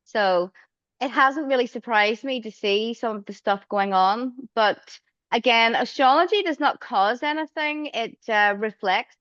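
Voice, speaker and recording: A woman answers interview questions casually, restarting phrases and dropping in fillers. Her voice sits a little high and sounds smooth and resonant. The audio is dry and dull, like a lo-fi remote call. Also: measured pace, fairly bright tone, dry recording. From Northern Ireland. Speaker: astrologer guest.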